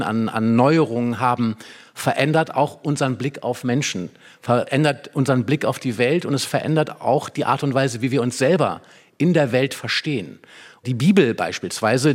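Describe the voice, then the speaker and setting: Discursive man explaining as expert guest. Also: medium pitch. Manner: discursive, explanatory, long winding sentences. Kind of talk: explanatory radio conversation about literature.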